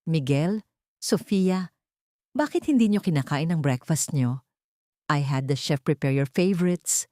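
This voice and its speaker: A woman voicing a busy, detached wealthy father with a brisk manner, in an audiobook drama. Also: low voice, lively intonation, warm tone, no echo, clean studio sound. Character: busy, distracted wealthy father, a grown man.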